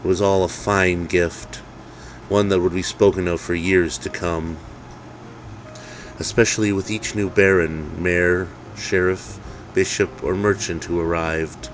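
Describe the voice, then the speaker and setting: A man narrating a dark Christmas tale as its author, in measured, solemn, dramatic phrases. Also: low voice, fairly even intonation, slight background noise, rough recording. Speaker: author reading own story. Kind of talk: scripted dark Christmas storytelling.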